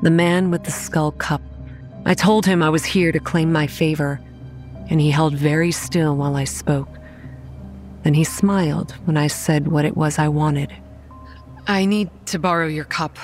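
A woman's voice: deep voice, steady tone, unhurried, a little mellow, faint music behind. An actress playing a sarcastic, determined young woman who tells her own story in a horror audio drama. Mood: grim, wry. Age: young adult. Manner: wry, first-person storytelling.

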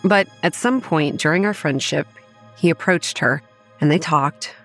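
An actress playing a self-assured young woman who manages a campground, narrating a horror audio drama in a measured, matter-of-fact way. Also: low-pitched, a bit bright, faint music behind, full character voice. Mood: matter-of-fact, ominous. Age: young adult. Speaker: narrator and lead character. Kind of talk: scripted first-person horror drama narration.

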